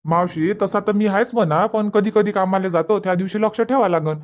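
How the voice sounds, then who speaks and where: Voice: mid-range voice, brisk, lightly acted. A performer voicing a plain, obliging village husband in a cartoon comedy, with a Varhadi Marathi accent. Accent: Varhadi Marathi from Vidarbha.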